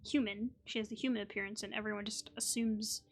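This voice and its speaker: A woman's voice: mid-range voice, fairly even intonation, fast, slight background noise. A woman game streamer giving casual, chatty playthrough commentary, informally mimicking characters as she goes.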